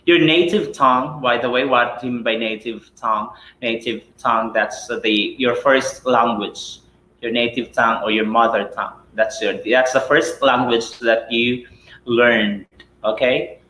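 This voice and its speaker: A chatty Filipino man runs a live English lesson, asking rhetorical questions and tagging "right?" onto his points. His voice sits at an ordinary middle pitch. It bounces around a roomy space, with a faint hum of ambience underneath. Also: steady tone, unhurried, sharp tone. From Philippines. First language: Cebuano. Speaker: English teacher.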